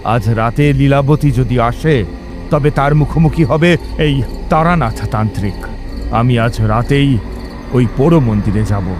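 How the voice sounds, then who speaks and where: A man's voice: mid-range voice, measured pace, music in the background, close to an everyday voice. A man playing a bold, righteous tantric Brahmin in a horror audio drama, speaking in an earnest, probing way. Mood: resolute.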